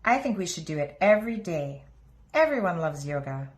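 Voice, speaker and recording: Woman playing a female gazelle playgroup teacher, storybook, kindly, explaining to little ones, varied pitch. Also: big pitch swings, normal speed. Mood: reassuring, explaining. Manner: explaining things to small children.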